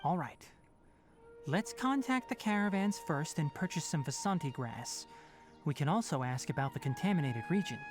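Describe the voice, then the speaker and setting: A woman playing a brisk, stern but caring young male forest watcher in a video game, precise and no-nonsense. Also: low-pitched, animated, music in the background. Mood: resolute.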